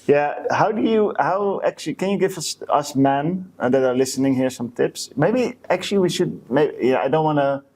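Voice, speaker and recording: A man co-hosting a podcast in casual chat, with a hesitant, halting way of talking that often restarts mid-sentence. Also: fairly high pitch, lively intonation, darkish tone, a little hiss or hum. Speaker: podcast co-host.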